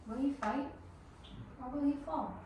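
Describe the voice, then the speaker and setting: Veiled goddess, read by a woman: portentous, fateful, mid-pitched, heard from far off in a noisy room. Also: measured pace, heavy reverb. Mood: solemn. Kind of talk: dramatic table read of a fantasy film script.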